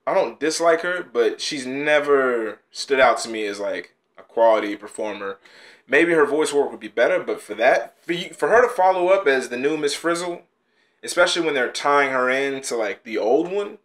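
A man's voice: mid-range voice, lively intonation, moderate pace, fairly bright tone. A young man hosting a casual reaction video, blunt and exasperated, with frequent sighs and groans, recorded in a small room at home. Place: small bedroom studio indoors.

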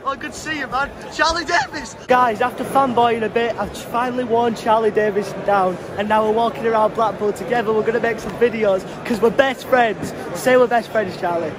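A young man, vlogging from a convention hall with a phone at arm's length, mock-polite and excitable. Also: extremely high pitch, fairly quick, few pauses. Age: young adult. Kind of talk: comic vlog at a magic convention. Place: large indoor hall. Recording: loud crowd and music behind. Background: crowd chatter and music.

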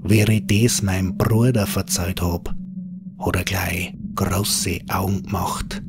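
A man with a Bavarian accent narrates a scripted horror story in dialect, in an unhurried, folksy manner. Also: deep voice, fairly even intonation, vocal fry, very noisy.

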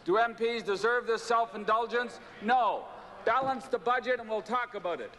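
A man's voice: very high-pitched, normal speed, sharp tone, slight background noise. A middle-aged man giving a combative speech in a parliamentary chamber, read from his notes. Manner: combative, pointed. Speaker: member of parliament speaking in the House. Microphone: far desk microphone. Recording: echoing chamber, from a distance.

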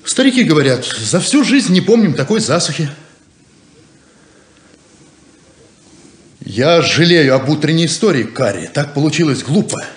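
Stubborn small-town deputy, fairly high male voice, expressive, proud, 1970s radio theatre acting. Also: fairly quick. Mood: polite, awkward.

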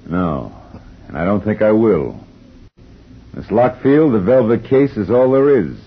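An actor playing a wry, hard-boiled private detective who narrates, in a 1950s radio drama with a dull vintage sound. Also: male voice, fairly low pitch, big pitch swings, unhurried, vocal fry, husky, exaggerated voice. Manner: dry, laconic, wisecracking.

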